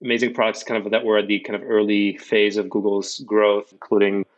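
A man in his forties from Morocco, a company founder telling his story as an interview guest in a measured, reflective way with fillers and restarts. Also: lowish voice, flat delivery, highs cut off. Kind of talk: casual interview.

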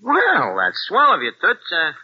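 A man's voice: high voice, huge pitch swings, a little slow, husky, dry recording, exaggerated voice. An actor playing a wisecracking American crook with slangy tough-guy talk and hesitant fillers, in an old radio drama. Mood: wheeling. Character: wisecracking American double-agent crook, streetwise. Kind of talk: 1940s radio acting. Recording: dull old radio recording.

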